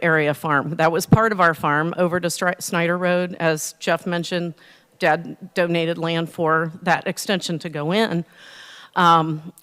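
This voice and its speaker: Emotional, halting woman in a reverberant chamber. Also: fairly low pitch, a bit bright, clean tone. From Ohio. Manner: emotional, halting, many ums. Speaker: resident speaking at public hearing. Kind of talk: public comment at council meeting.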